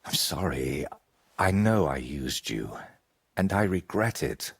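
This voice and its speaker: A low, husky older man, a troubled medieval painter in a video game. His speech swings widely in pitch, earnest and haunted, weighed down by guilt and desperation. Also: sing-song, takes it slowly, exaggerated voice. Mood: apologetic. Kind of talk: video game dialogue acting.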